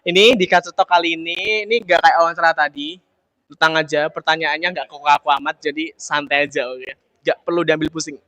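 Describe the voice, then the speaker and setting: Young male interviewer from Solo, upbeat and chatty, bright tone, dry close recording. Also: fairly high pitch, fairly even intonation, brisk, no echo, low-quality recording. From Solo, Central Java. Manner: upbeat, repeats "oke, siap". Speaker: interviewer host. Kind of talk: casual interview chat.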